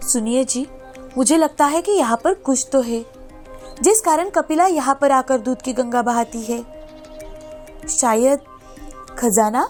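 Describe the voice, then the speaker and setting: A woman voicing a caring, curious village wife in a moral story, with expressive, solicitous delivery. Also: sits high, measured pace, pauses often, faint music behind. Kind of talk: scripted moral story dialogue.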